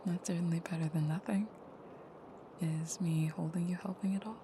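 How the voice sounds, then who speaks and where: Woman acting a low, whispery vampire girlfriend, noisy recording. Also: fairly even intonation, moderate pace, close to an everyday voice. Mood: tender, soothing. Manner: soft, breathy murmuring, often whispered, soothing. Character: female vampire girlfriend, protective and tender, menacing in nightmare. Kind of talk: intimate audio roleplay acting.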